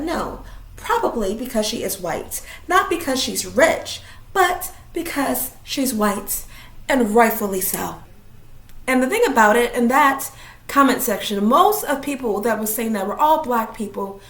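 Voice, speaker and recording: A woman from Minnesota giving a blunt, opinionated vlog rant, swearing casually. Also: fairly high pitch. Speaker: vlogger. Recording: roomy echo.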